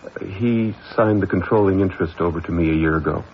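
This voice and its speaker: An actor playing an evasive, haughty company heir in a halting manner, in an old-time radio drama style. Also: male voice, sits low. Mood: guarded. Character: evasive, smug young company heir, accusing his stepmother. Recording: muffled old radio recording, faint noise.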